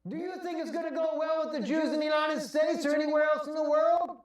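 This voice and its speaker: A man's voice: very high-pitched, steady tone, quick pace. A man pleading urgently in a raised voice, exhorting his listeners to wake up, heard in a clip. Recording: echoey and distant, with music underneath.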